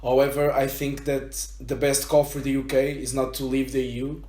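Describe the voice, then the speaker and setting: A man's voice: medium pitch, level tone, a little fast, dark tone. A Portuguese man in his twenties with a Portuguese accent, chatting bluntly and giving strong opinions on a casual podcast. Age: 20s. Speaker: podcast guest. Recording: echoey room.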